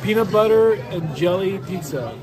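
A man's voice: high-pitched, expressive. A man in his forties chatting enthusiastically on a food vlog, stumbling a little as he describes his food. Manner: enthusiastic, halting, nostalgic. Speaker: food vlogger. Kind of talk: casual restaurant vlog chat. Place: busy indoor buffet restaurant. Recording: loud music and crowd behind.

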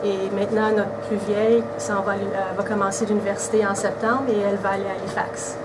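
A woman in her forties from Quebec with a Québécois French accent, answering interview questions in a relaxed, casual way. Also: mid-range voice, fast. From Quebec, Canada. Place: indoors by a brick wall. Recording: distant camera mic with background hiss.

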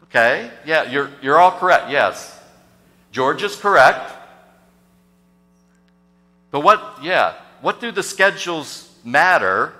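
Older pastor preaching in a reverberant church. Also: male voice, on the high side, sing-song, takes it slowly, pauses often, bright, forceful tone. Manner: hesitant, many fillers and restarts, asks the congregation questions. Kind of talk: sermon. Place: church sanctuary, large and indoors. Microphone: podium microphone on a gooseneck.

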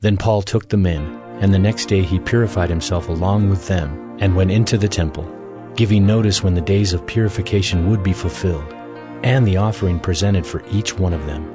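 A man narrating a dramatized audio Bible as measured, scripted storytelling. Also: deep voice, over music.

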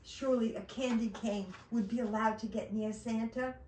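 A woman's voice: fairly high pitch, steady tone, normal speed, mellow, echoey room, distant mic, slight background noise, natural voice, rough recording. Woman voicing an anxious, scheming storybook turkey. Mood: excited scheming. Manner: exclaiming, gobbles when thwarted. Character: anxious, scheming male turkey, hopeful then crestfallen.

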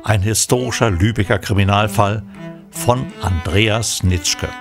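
A man's voice: lowish voice, unhurried, vocal fry. A man reading scripted narration for an audio drama. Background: string music.

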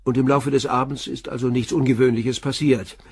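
An actor playing a calm, probing police inspector in a radio crime drama, questioning in a terse, matter-of-fact way. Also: male voice, mid-range voice, brisk, lightly acted.

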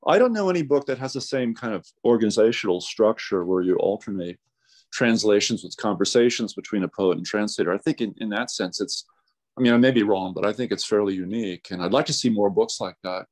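A man's voice: lowish voice, lively intonation, brisk, a little mellow. A man talking casually as an interview guest, rambling and thinking aloud as he explains. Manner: rambling, thinking aloud, frequent "you know". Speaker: translator, podcast guest.

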